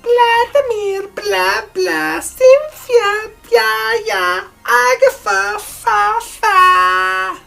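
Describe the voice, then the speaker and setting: A woman mimics a little girl in a picture book. The voice jumps very high into a babyish singsong. She sounds playful and fearless, drawing words out. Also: extremely slow, gravelly, pushed and bright, faint music behind, full character voice.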